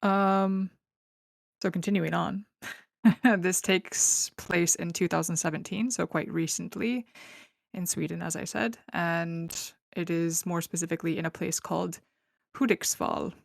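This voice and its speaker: Gravelly low-voiced chatty woman podcaster. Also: fairly even intonation, a little slow, dry recording, clean studio sound. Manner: chatty, laughing, filler-heavy. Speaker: podcast host. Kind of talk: casual true crime storytelling.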